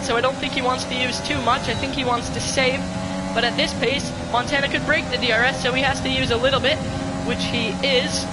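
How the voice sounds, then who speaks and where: A woman commentating a sim race live, excitable and slightly hoarse from losing her voice. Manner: excitable, rising pitch in close battles. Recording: loud crowd and engine noise behind. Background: race car engines and crowd ambience.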